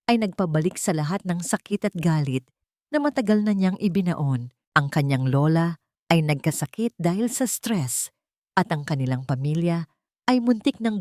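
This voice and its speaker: A woman's voice: fairly low pitch, darkish tone, no room sound, studio quality. A woman narrating a scripted dramatic story as a storyteller.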